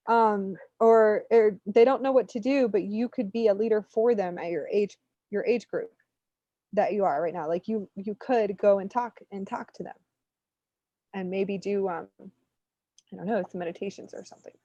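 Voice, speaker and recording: A woman giving an unscripted spiritual reading, talking in an animated, informal, rambling way as she coaches. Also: mid-range voice, normal speed. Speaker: spiritual reader hosting a live readings session. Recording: muffled, roomy, lo-fi online stream.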